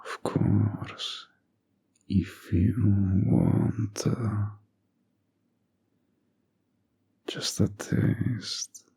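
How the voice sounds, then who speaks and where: Casting: seductive fantasy villain played by a man, deep and gravelly, manipulative, teasing a hero in romantic audio roleplay, often near a whisper. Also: fairly even intonation, mellow, full character voice. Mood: intimate, breathy. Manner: slow, teasing, seductive, insinuating.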